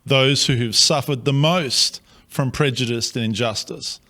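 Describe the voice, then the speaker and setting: A man with an Australian accent speaks as a pastor addressing his city. His delivery is slow, earnest and measured, with a bright, forceful tone at a middle pitch.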